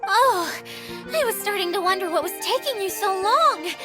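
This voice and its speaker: A woman's voice: very high-pitched, expressive, normal speed, over music. A woman playing a bubbly, breezy and cheerfully self-satisfied girl caretaker in a video game cutscene. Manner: chirpy, breezy, unbothered.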